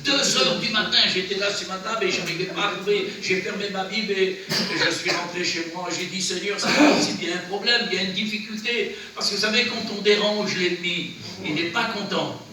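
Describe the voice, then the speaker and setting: Preacher with a cold in an echoey church. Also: male voice, high-pitched, a crowd in the background. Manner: earnest, exhorting, pausing between short phrases. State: has a cold, runny nose. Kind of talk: sermon. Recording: very echoey church, from far off, noisy.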